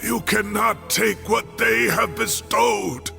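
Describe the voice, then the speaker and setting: Old man professor, gravelly, slow and halting, lively pitch, game cutscene. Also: faint music behind, full character voice. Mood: pleading. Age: older. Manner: earnest pleading. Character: aging male scholar, protective and defiant. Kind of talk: video game voice acting.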